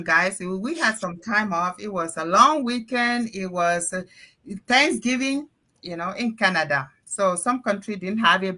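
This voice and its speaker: A woman from Cameroon hosting a live panel stream, chatting in an upbeat, energetic way. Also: mid-range voice. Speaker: host of a live panel show. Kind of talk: casual live-stream chat.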